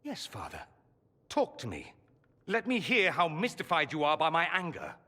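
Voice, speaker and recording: A proud, wounded and sardonic mage from a fantasy video game. His delivery is sharp and theatrical, with very lively pitch and a forceful edge, slightly rough, in a long reverberant hall. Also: normal speed, heavily acted. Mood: biting sarcasm. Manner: theatrical, cutting sarcasm, articulate. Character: proud, sardonic, wounded adult male mage, estranged son. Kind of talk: dramatic game cutscene dialogue.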